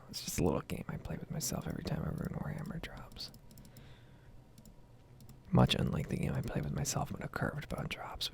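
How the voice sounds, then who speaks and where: Casting: American woman, 20s, heavily fry-laden and often whispered voice, rambling ASMR gaming talk, casual swearing, close mic. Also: sits low. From United States. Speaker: gaming video creator.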